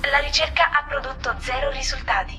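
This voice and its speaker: A woman's voice: sits high, steady tone, brisk, pushed and bright, faint music behind. A woman voicing a chirpy, literal-minded digital assistant in an audio drama, making formal, scripted announcements. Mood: neutral, procedural.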